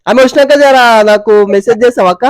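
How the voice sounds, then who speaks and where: Young male prank caller. Informal and familiar, extremely quick delivery, dry sound. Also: very high voice, dry recording. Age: young adult. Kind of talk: casual phone chat.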